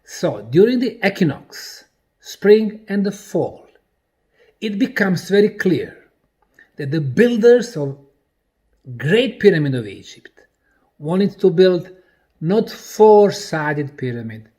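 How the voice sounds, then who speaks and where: Slow, animated male lecturer from Bosnia. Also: high voice. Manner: deliberate, emphatic, speaking in short broken phrases. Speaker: presenter and researcher. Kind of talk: explanatory lecture presentation.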